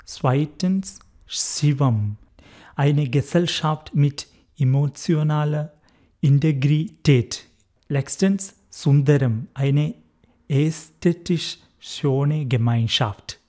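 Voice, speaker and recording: Indian-accented man, chaplain guest, slow deliberate pace, mellow dark tone, hesitant wording. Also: a little high. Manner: careful, halting German with fillers, recently learned. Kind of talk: interview answers.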